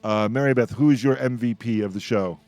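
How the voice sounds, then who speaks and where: Male roundtable host, average pitch, conversational and halting, repeats himself, faint music bed. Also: a little mellow. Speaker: radio discussion host. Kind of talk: casual roundtable discussion.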